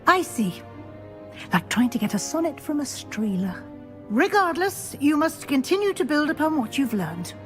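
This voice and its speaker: A woman playing a brisk, watchful professor at a wizard school in a video game, speaking formally and matter-of-factly. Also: high-pitched, sing-song, fairly bright tone, faint music behind. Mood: brisk, probing. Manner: formal, crisp, matter-of-fact. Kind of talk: scripted video game acting.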